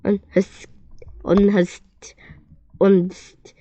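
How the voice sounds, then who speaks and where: Lady reading a kids' story out loud, trips over words a lot, dry dull lo-fi sound. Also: mid-range voice, takes it slowly, dry recording. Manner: expressive, often stumbling and repeating words. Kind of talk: children's story read-aloud.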